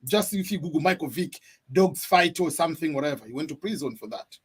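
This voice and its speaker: Husky Tanzanian man, relaxed chat. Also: on the high side, a little fast. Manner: relaxed, laughs often while talking. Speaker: guest on a live stream. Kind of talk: casual chat. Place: home. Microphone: computer mic.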